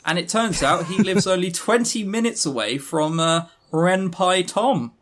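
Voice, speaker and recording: Teasing, higher-voiced man on a podcast. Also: measured pace, fairly bright tone. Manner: joking, teasing, mock-serious. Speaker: podcast co-host. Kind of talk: casual podcast chat.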